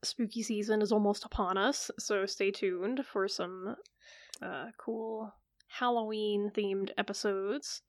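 A woman's voice: medium pitch, fairly even intonation, takes it slowly, no echo, studio quality. A woman hosting a casual podcast chat, chatty and laughing often, with plenty of filler words. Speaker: podcast host.

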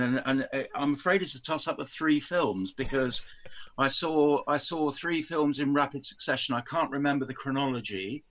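A man's voice: mid-range voice, a bit bright. An older man speaking as a podcast guest over a video call, thoughtful and hesitant, with frequent ums. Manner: hesitant, many ums and pauses. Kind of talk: casual video-call chat.

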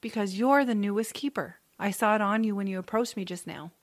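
Calm, knowing mentor woman, acted, mid pitch. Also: a little fast, dry recording, studio quality, close to an everyday voice. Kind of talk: audiobook drama character acting.

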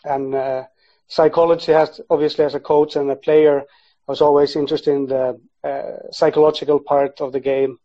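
A man from Finland speaks as a guest in a casual podcast interview. His voice sits a little high, and he feels his way through answers with hesitant pauses. The audio is somewhat dull and lo-fi. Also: flat delivery. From born in Sweden, grew up in Finland, half Icelandic. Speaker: podcast guest, sports psychology researcher.

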